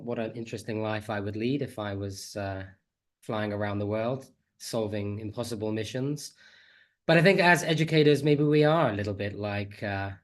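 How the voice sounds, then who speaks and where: Man, slightly nasal from a cold, friendly conference presenter, video call mic. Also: mid-range voice. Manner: friendly, chatty, self-deprecating. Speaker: conference speaker, teacher trainer. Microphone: computer mic on a video call.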